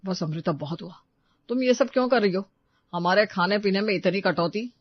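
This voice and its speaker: A man playing disgruntled, fussy men of a household in an animated family story. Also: sits high, moderate pace, dry recording, lo-fi sound. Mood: irritated. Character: disgruntled husband and fussy elderly father-in-law of a household.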